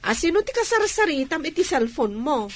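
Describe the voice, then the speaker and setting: A woman's voice: high-pitched, sing-song, a little fast. A woman with an Ilocano accent acting as a middle-aged provincial housewife and attentive wife in a radio drama. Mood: questioning, uneasy.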